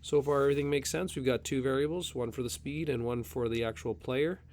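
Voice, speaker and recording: A man's voice: medium pitch, fairly quick. A man with a slight head cold, casually talking through a programming tutorial at his computer, with plenty of fillers along the way. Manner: relaxed, talks through steps with fillers. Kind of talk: casual screen-recorded coding tutorial.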